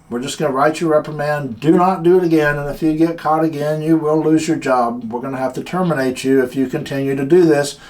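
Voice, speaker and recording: A man's voice: on the high side, rough recording. A man who runs a security company, telling a story from work and giving guards earnest, plain-spoken warnings. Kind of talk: casual instructional talk.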